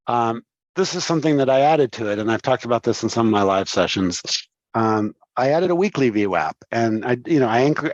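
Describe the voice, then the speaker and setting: A man's voice: mid-range voice, no echo, highs cut off. A man in his sixties with a cold, explaining his trading setup in casual live-stream commentary, with lots of fillers and restarts. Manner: rambling, filler-heavy explaining. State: congested from a cold. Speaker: trading educator on a livestream.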